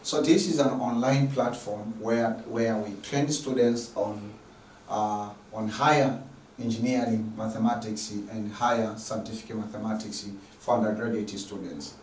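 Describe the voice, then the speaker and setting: A man's voice: on the low side, steady tone, a little mellow. Tanzanian man lecturing from far off. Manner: repetitive, earnest, halting with many fillers. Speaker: online math lecturer. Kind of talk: lecture introduction. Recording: distant mic in an echoey room with faint noise.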